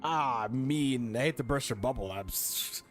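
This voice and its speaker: A man's voice: medium pitch, moderate pace. Casual man commenting over game music. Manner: informal, hesitant, repeats phrases, opinionated. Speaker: gaming streamer.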